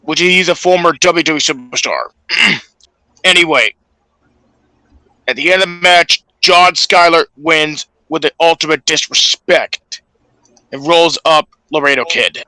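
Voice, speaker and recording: A man co-hosting a podcast, recapping wrestling matches casually in a halting, stop-and-start delivery. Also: fairly high pitch, sharp tone, no echo, rough recording. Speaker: podcast co-host.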